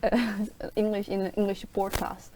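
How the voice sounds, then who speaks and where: A woman's voice: mid-range voice, completely flat delivery, moderate pace, mellow, a little hiss or hum. A young woman student teacher talking casually about her internship, filling her speech with "like". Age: young adult. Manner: chatty, leans on "like". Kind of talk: casual discussion.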